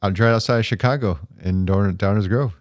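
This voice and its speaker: A man hosting a business podcast, speaking in a friendly, relaxed way, recorded on location at a guest's corporate office. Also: on the low side, a little fast, dry recording, clean studio sound. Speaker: podcast host.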